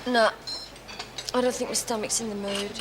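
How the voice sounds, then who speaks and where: A woman's voice: fairly high pitch, fairly even intonation, normal speed, lightly acted. An actress playing a practical, wary female inmate with a matter-of-fact way of talking. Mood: dry. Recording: hiss on old TV audio.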